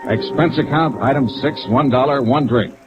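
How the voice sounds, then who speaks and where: A man's voice: medium pitch, moderate pace, close to an everyday voice. An American actor playing a terse, wry insurance investigator who narrates his case in a clipped 1950s radio detective style. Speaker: lead actor in detective serial. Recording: tinny 1950s radio, music and rain behind.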